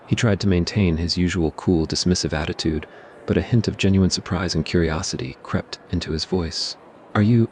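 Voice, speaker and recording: A man narrating a scripted ASMR roleplay story in a measured, even way. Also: low voice, slight background noise.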